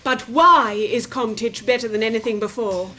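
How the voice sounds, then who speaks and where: High-voiced, zealous woman teacher. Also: normal speed, sharp tone. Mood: proud. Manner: rousing, declamatory speechmaking. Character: zealous, triumphant female teacher championing new technology. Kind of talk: radio drama acting.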